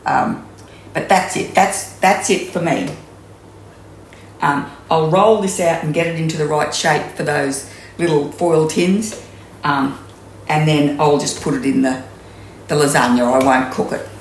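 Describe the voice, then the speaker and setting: A woman's voice: deep voice, measured pace, pauses often, a little hiss or hum. A woman in her sixties with an Australian accent, chatting through a home cooking demonstration with many ums and restarts, recorded in her kitchen on a camera mic a few metres away. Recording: echoey kitchen room.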